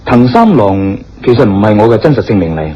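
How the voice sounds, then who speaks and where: Curious gentleman, lively intonation, muffled audio. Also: fairly low pitch, a little fast, slight background noise. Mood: apologetic. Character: adventurous gentleman tourist, courteous, curious, evasive. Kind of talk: Cantonese radio drama acting. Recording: dull lo-fi audio with background music.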